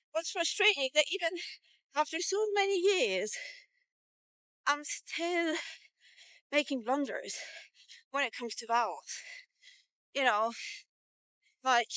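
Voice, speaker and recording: A middle-aged woman talks in a dry, close acoustic with very little room sound. Her English is non-native, coloured by both Irish and British pronunciation. She speaks very slowly in halting fragments, thinking aloud as a casual vlogger, in a slightly high voice. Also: bright, forceful tone, no echo, low-quality recording. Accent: non-native English with Irish and British influences. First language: not English. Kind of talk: casual solo vlog monologue.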